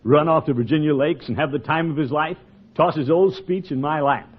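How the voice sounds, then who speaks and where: An actor playing a pompous, blustering middle-aged uncle in a 1940s radio comedy, theatrical and easily flustered. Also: male voice, fairly high pitch, a little fast, husky. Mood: sulky, defensive. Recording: muffled 1940s radio recording.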